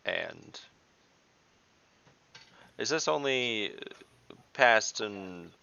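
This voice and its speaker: A man playing in an online tabletop game, speaking deliberately and thinking his plans through out loud. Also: mid-range voice, expressive, gravelly, clean tone, highs cut off. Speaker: player.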